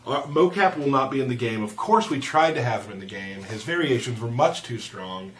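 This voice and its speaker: A man hosts a livestream in a casual, easygoing voice of middling pitch. He chats through questions with dry, joking deadpan. There is faint noise behind him. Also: a little fast, far from the mic. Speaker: livestream host from a game studio. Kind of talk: casual live Q&A chat.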